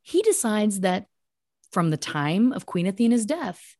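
A woman's voice: mid-range voice, big pitch swings, unhurried, warm tone, no room sound, clean studio sound. A woman hosting a podcast, retelling a story as mock-serious true crime in an emphatic, conversational way with asides. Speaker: podcast host telling a story.

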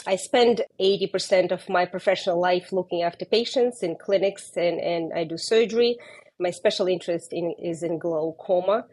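Husky-voiced woman with a Ukrainian accent. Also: on the low side, moderate pace, darkish tone. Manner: careful, explanatory, with frequent hesitations. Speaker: ophthalmologist guest. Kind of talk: interview, explaining.

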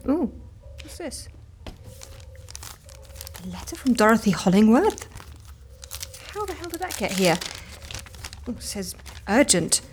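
Frazzled office woman for comedy audio drama: high voice, exclamatory, barely holding chaos together. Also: big pitch swings, unhurried, pauses often, noisy background. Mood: anxious dismay. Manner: flustered, exclamatory, emphatic. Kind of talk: audio comedy fiction acting.

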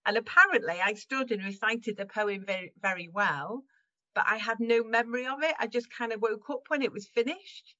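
A woman's voice: on the high side, normal speed, bright, forceful tone, lo-fi sound. A woman from Wales chatting casually in an interview, laughing often and restarting her sentences as she talks. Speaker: guest improviser.

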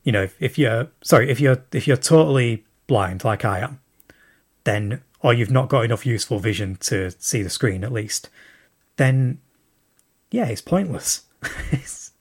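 Man, husky voice, listener message, rambling, many fillers, thinking aloud. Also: mid-range voice, lively intonation, measured pace. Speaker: listener sending in a question.

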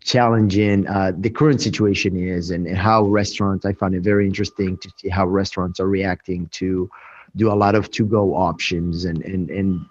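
A man who owns a restaurant answers interview questions, halting with many fillers and restarts. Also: low voice, steady tone. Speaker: restaurant owner. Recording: dull lo-fi sound.

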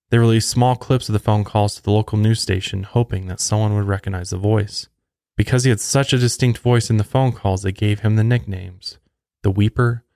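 A man's voice: lowish voice, vocal fry, darkish tone, no echo, clean studio sound. A man hosting a true crime podcast, reading a scripted narration.

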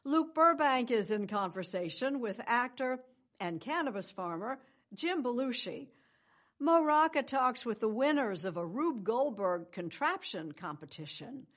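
An older woman anchors a morning news program with measured, polished scripted delivery. Her voice sits fairly high and moves with lively, expressive intonation. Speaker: news show host. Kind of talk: scripted broadcast narration.

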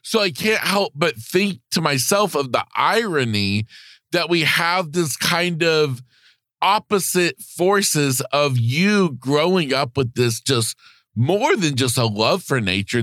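A man's voice: a little high, animated, slow, sharp tone, no echo, clean studio sound. A man hosting a podcast interview, asking questions in a thoughtful way. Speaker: podcast host. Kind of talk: interview conversation.

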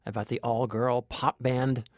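Guy reviewing movies on a podcast, chatty, mid pitch, really dry studio sound. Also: level tone, measured pace, dry recording. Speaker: film critic co-hosting a movie podcast. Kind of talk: conversational film review podcast.

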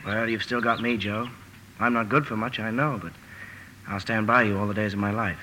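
A man's voice: lowish voice, fairly even intonation, a little fast, sharp tone, slight background noise, poor audio. An actor playing an ardent, impetuous young man in love, emphatic and pleading, in a 1950s radio drama. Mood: tender.

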